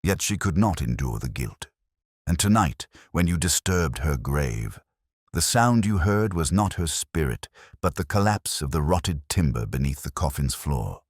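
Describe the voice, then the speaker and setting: Storybook detective, acted by a male narrator: cool, commanding, clipped and intent, extremely low register, husky edge. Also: sing-song, measured pace, no echo, studio quality, full character voice. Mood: grave, calculating.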